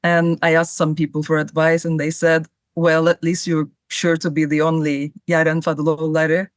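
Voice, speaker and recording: A young woman from Cyprus, a native Turkish speaker, answering interview questions in a thoughtful, measured way. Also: low voice, little pitch movement, dry recording, clean studio sound. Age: young adult. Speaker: guest, travel writer.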